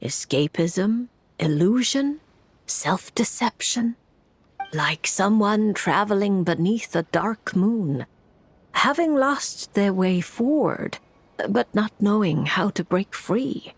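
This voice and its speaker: An actress playing a composed, mysterious noblewoman fortune-telling mage, theatrical and deliberate, in a video game. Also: mid-range voice, lively intonation, breathy edge, no echo, heavily acted. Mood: ominous. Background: music.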